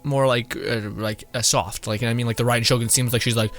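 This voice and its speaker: A man's voice: medium pitch, fairly even intonation, a little fast, a bit bright. Amused, rambling male game streamer. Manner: amused, chuckly, slangy, rambling. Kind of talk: casual live gameplay reaction commentary. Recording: game music behind.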